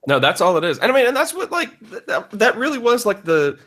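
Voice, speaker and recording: A man's voice: sits high, big pitch swings, quick pace, fairly bright tone. A man hosting a podcast in a casual, joking, conversational style. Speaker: podcast host.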